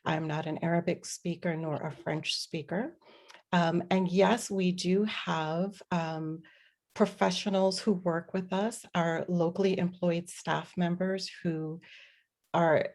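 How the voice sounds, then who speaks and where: A woman diplomat answering audience questions on a webinar panel, measured and explanatory, with frequent fillers. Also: on the low side, steady tone, darkish tone.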